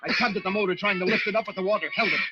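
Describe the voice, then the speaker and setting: An actor playing a desperate lighthouse keeper narrating a storm ordeal in an intense, breathless old-time radio drama style. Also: male voice, high voice, fairly even intonation, racing, lightly acted. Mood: tense, urgent. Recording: muffled old radio recording with dramatic music.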